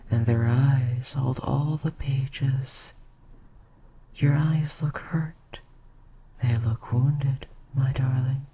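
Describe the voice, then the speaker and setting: A woman's voice: deep voice, noisy background. A woman voicing a whispery ancient succubus queen. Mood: teasing. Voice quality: breathy whisper. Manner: soft, slow, often whispering. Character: ancient queen succubus, tender, seductive, motherly. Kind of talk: ASMR roleplay.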